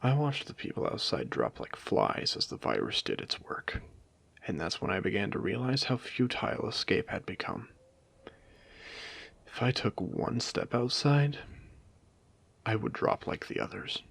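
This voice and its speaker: A man playing a wry, courteous AI that was once human, speaking formally and deliberately in a dramatic audio roleplay. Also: on the low side, big pitch swings, vocal fry, fairly bright tone, husky, dry recording, heavily acted. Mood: grave, reminiscing. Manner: articulate, theatrical, deliberate, formal wording. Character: wry, courteous male AI, once human, haunting a ruined casino. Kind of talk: dramatic audio roleplay monologue addressed to a listener.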